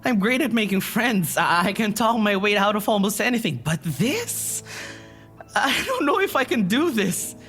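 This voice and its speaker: An actor playing an anxious, poetic being in an audio drama, speaking in halting, earnest stammers. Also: medium pitch, big pitch swings, fairly bright tone. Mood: anxious, self-blaming. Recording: music underneath.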